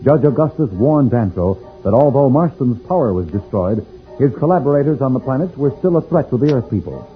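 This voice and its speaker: A man's voice: medium pitch, expressive, brisk, natural voice. A man narrating a vintage radio adventure serial in a grand, declamatory scripted style. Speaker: announcer and narrator. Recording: tinny old radio recording. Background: loud dramatic music.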